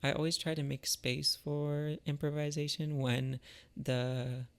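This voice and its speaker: A man's voice: a little high, little pitch movement, measured pace, clean tone. A man talking casually and reflectively about creative work, thoughtful and informal, searching for his words as he goes. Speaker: musician and artist, video creator.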